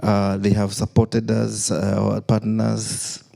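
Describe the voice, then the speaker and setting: Slow, gravelly-voiced Kenyan man. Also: fairly low pitch, completely flat delivery, mellow. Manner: halting, heavy with "uh" fillers. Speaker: company CEO, panelist. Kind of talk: formal panel remarks. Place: conference panel.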